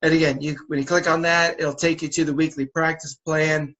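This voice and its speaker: A man with a slightly raised pitch, speaking over a video call as he walks volunteer coaches through league details. He sounds a little sniffly from a sore throat. His delivery is loose and instructive, full of "uh"s and repeated "again"s. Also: brisk. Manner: repetitive, relaxed and instructive, many fillers. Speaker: youth basketball league organizer. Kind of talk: coaches' orientation briefing.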